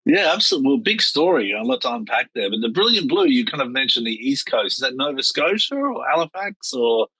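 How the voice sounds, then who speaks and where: A middle-aged man hosting a casual interview, chatty and quick to react. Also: medium pitch, big pitch swings, bright, forceful tone, breathy edge, no room sound, low-quality recording. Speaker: interviewer, yacht captain host.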